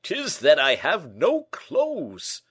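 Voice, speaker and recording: A man voicing a vain, rich, big nobleman in an audiobook, slow, emphatic and melancholy. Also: mid-range voice, big pitch swings, a bit bright, breathy edge, pitched above the performer's own voice, heavily acted. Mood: despairing. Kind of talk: audiobook character acting.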